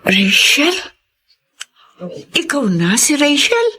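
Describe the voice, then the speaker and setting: A woman's voice: high-pitched, huge pitch swings, slow pace, sharp tone, heavily acted. A woman playing a middle-aged, remorseful estranged mother in a Filipino radio drama. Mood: hesitant. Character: remorseful middle-aged mother who left her family.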